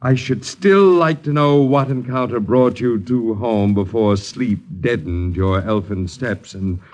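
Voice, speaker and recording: A man's voice: medium pitch, a little slow, a little mellow, close to an everyday voice. An American man acting a sardonic, wordy boarder in a 1950s radio drama, with a theatrical, mocking manner. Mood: grandly ironic. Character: sardonic, wordy male boarder mocking his miserly landlords. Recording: 1950s radio broadcast recording.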